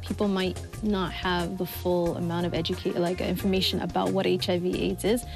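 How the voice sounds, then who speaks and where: A woman's voice: on the low side, flat delivery, fairly quick, gravelly. A young woman from Somalia who works as an HIV outreach coordinator, speaking thoughtfully with frequent fillers. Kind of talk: documentary interview and voice-over. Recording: voice-over with music underneath.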